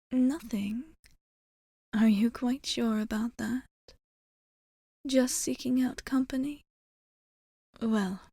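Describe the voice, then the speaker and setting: A woman playing a calm, formal, tender vampire in an ASMR roleplay, in a soft breathy whisper with measured, gentle diction. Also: fairly high pitch, no room sound, clean studio sound. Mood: gentle, wistful. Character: calm, formal, tender old female vampire bar keeper.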